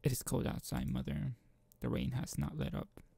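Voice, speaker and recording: A man's voice: medium pitch, lively intonation, normal speed, vocal fry, dark tone, husky, no room sound, heavily acted. A man voicing a meek, obedient young girl who blames herself, in an amateur visual novel read-aloud. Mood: pleading. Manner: apologetic, pleading.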